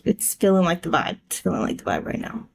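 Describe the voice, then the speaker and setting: A woman's voice: fairly low pitch, sing-song, a little fast, gravelly. An American woman chatting casually to camera as a book vlogger, in a laid-back, conversational way.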